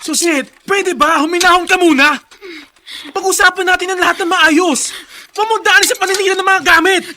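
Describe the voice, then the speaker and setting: An actor playing an exasperated brother in a melodramatic radio drama, urgent and admonishing. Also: male voice, very high voice, fairly even intonation, fairly quick, sharp tone, heavily acted. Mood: strained, placating. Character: exasperated brother trying to calm his sister.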